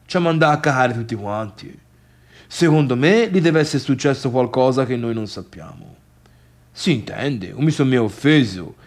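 A man's voice: medium pitch, close to an everyday voice. Guy doing chatty gossipy tuscan villager, grumbly, colloquial, radio play monologue. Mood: grumbling, offended. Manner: colloquial, grumbling, exclamatory storytelling. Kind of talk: theatrical comic monologue for radio drama.

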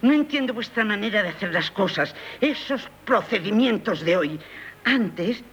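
A woman's voice: mid-range voice, expressive, normal speed, fairly bright tone, breathy edge. An actress with a Spain Spanish accent playing an indignant, domineering older mother in a 1970s radio drama. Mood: outraged. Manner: imperious, reproachful, theatrical. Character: indignant, domineering older mother disapproving of her son-in-law. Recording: muffled 1978 radio broadcast recording.

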